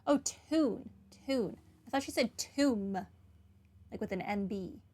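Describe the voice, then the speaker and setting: A woman's voice: on the high side, takes it slowly, darkish tone. A woman hosting a gaming video, commenting casually as she plays.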